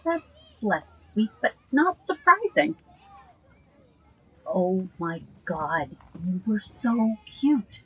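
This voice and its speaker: A woman's voice: a little high, sing-song, very slow, husky, highs cut off, full character voice. A woman playing an earnest, tender magic-user in an audio drama, speaking in a hesitant way.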